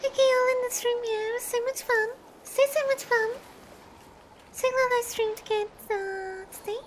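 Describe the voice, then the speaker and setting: A woman's voice: very high-pitched, fairly even intonation, a little slow, slight background noise. A woman chatting casually on a livestream, gushing and repeating words for emphasis. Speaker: streamer.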